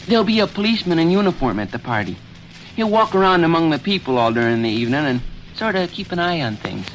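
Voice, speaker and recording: A man's voice: a little high, very animated, fast talker, soft background music, poor audio. An actor playing an eager, boastful teenage boy, earnest and self-important, in 1930s radio comedy style with folksy small-town talk.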